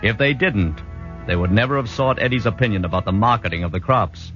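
An actor playing an American army doctor who narrates a 1940s radio drama in a measured, expressive storytelling way. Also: male voice, fairly low pitch, close to an everyday voice. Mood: reflective. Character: genial American army doctor recounting his friend's story. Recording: dull vintage radio recording with music.

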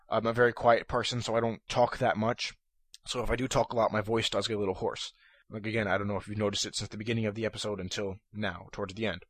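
A young man hosting a casual solo podcast, talking in a monotonous, rambling way full of fillers and restarts, his voice a little hoarse. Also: on the low side, dry recording. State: slightly hoarse. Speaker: podcast host. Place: room at his computer.

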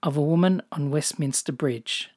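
Australian solo podcaster, calm scripted musings with a dry wit. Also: medium pitch, normal speed, husky. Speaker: podcast host. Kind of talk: scripted personal podcast monologue.

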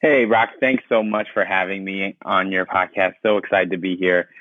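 Man, from Ghana, young adult, podcast guest, casual storyteller, lowish voice, dry phone-like sound. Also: normal speed, dry recording. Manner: relaxed storyteller with many fillers. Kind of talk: casual interview talk. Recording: dry tinny phone-like line.

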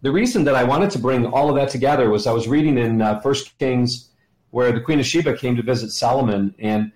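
A middle-aged or older man talking as an interview guest, enthusiastic and halting as he explains. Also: medium pitch, a little mellow. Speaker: podcast guest, ministry leader. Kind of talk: conversational interview answers. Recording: roomy, echoey sound.

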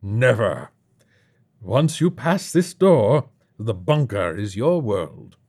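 A man voicing a smooth, menacing host of a secret bunker, speaking slowly and with deliberate theatrical weight. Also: fairly low pitch, very animated, a little mellow, full character voice. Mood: cold, final.

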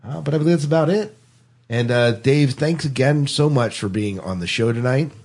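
A man's voice: medium pitch, moderate pace, a little mellow, breathy edge. A man hosting a podcast in a casual, chatty style. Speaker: podcast host.

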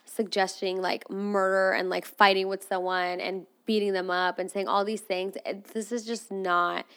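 A woman's voice: mid-range voice, moderate pace, clean tone. Frank, swearing young woman podcast host. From Armenian, grew up in Russia. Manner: frank, animated, swears casually. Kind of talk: casual podcast commentary.

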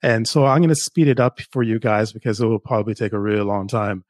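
A man's voice: on the low side, fairly even intonation, quick pace, a little mellow, no room sound, studio quality. A middle-aged man from Jamaica, a guest author, telling stories enthusiastically in a casual interview. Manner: enthusiastic storyteller, some restarts, laughs.

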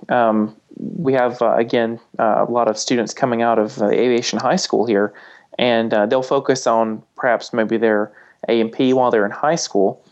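Halting male panelist, slightly dull sound. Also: mid-range voice, a little mellow. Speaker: flight instructor and podcast panelist. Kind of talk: casual panel discussion with anecdotes.

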